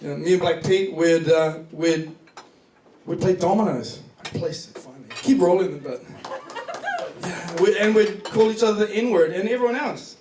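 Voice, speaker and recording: Male stand-up comic, New Zealand accent, high voice, profane storyteller, recorded from a distance in a club. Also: normal speed. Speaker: stand-up comedian. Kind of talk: stand-up comedy set. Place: comedy club stage before a live audience. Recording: distant echoey club recording, noisy laughing crowd.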